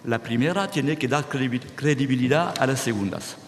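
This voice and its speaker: A man's voice: mid-range voice, normal speed. Man speaking to an audience in a hall. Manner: animated, restarts words, checks with "¿sí?". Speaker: speaker at a conference. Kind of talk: lecture-style talk to an audience. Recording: reverberant hall, faint noise.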